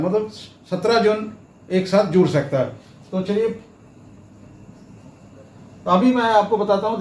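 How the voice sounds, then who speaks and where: A man giving a step-by-step tutorial, explaining haltingly and repeating himself, with English terms mixed in. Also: high voice, a little mellow. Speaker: instructor, tutorial presenter. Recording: roomy echo, faint background noise.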